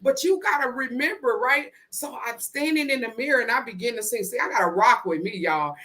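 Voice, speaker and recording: A woman delivers an inspirational talk in a rhythmic, repeating preaching cadence. Her voice sits high and sounds bright and forceful. She keeps calling out to the people listening. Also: a little fast. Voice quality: deep voice. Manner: rhythmic, repetitive preaching cadence with call-outs to the crowd. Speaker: motivational speaker. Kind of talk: spoken-word inspirational talk.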